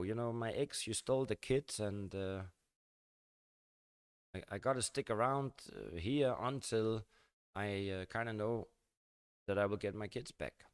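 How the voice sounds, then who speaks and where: An older man from Denmark, rambling and halting through a casual live-stream chat with his viewers. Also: on the low side, no echo, clean studio sound. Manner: halting and rambling, many fillers and restarts.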